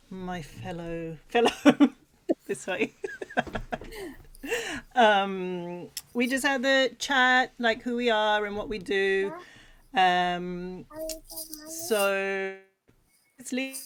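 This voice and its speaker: A woman's voice: mid-range voice, clear, resonant tone. A woman from Sweden co-hosting a livestream in a casual, chatty, giggly manner. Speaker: co-host of a livestream talk show.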